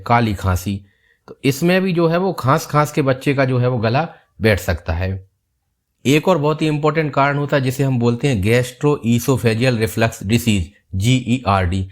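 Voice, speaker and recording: Male doctor, mid-pitched, calm step-by-step explainer. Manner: calm, steady explaining, repeats key points. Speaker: doctor explaining health topics. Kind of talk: explanatory health video.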